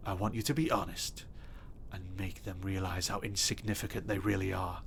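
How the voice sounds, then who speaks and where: A man playing an obsessive, possessive stalker in an audio roleplay, speaking softly and intimately with a threatening edge. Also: fairly low pitch, slight background noise, close to an everyday voice. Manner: soft, intimate and menacing, bursting into threats. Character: obsessive, possessive male stalker kidnapper, adult.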